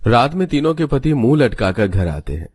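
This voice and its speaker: Male narrator for scripted family drama tales, mid-pitched voice. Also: sing-song, moderate pace, a little mellow.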